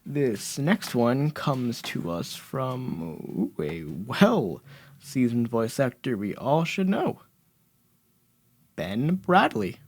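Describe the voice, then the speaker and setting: An actor playing a chatty radio station DJ announcing a series of classic horror shows. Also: male voice, medium pitch, very animated, very slow, a little mellow, full character voice. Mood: upbeat. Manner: breezy, chatty announcer delivery. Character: upbeat male radio station DJ.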